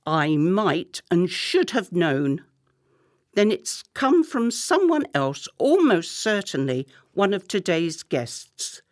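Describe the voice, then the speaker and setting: An actress playing a gushing, imperious well-to-do wife in a radio play, theatrical and commanding. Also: female voice, fairly low pitch, very animated, slow pace, no echo, studio quality. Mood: delighted, effusive.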